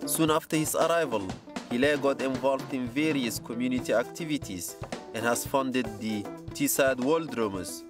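A man reading a scripted documentary narration in a measured, even way. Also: mid-range voice, over music.

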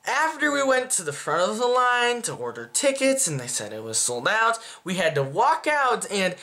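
A young man talks casually to camera in a small room at home. His delivery is animated, with pitch that swings up and down. He rambles and often breaks off to correct himself, like a chatty video reviewer. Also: moderate pace. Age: young adult. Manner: animated, rambling, self-interrupting. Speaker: movie reviewer.

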